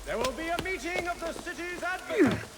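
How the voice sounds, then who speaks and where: A high-voiced town crier. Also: male voice, animated, a little fast, reverberant, very noisy. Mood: proclaiming. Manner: projecting, formal proclamation. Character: loud male town crier making announcements.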